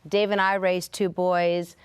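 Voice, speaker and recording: A woman's voice: lowish voice, little pitch movement, measured pace, clear, resonant tone. Enthusiastic woman co-host. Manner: warm, gushing, enthusiastic. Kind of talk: casual chat.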